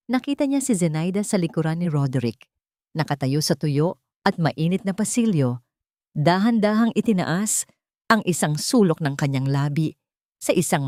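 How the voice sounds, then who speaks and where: A woman's voice: low voice, a little mellow, dry recording, clean studio sound. A woman narrating a scripted dramatic story as an audiobook, with a solemn, emotive delivery.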